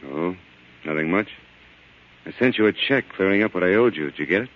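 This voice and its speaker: A mild, troubled American husband in 1940s radio drama. He has a very low voice and a subdued, hesitant, polite delivery, muffled by the old broadcast recording. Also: lively intonation, fairly quick. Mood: weary, hesitant.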